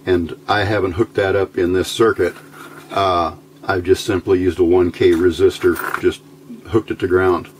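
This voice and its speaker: A man giving an informal hands-on electronics tutorial, thinking aloud in a halting, unscripted way at an indoor workbench. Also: sits low, level tone. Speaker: electronics hobbyist demonstrating a homebuilt circuit. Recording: amateur recording with clear background noise.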